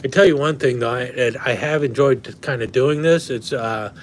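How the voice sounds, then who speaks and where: A man hosting a casual livestream and rambling with lots of fillers and restarts, his voice slightly hoarse from talking. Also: medium pitch, normal speed, slight background noise. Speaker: knife collector livestream host. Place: at home indoors.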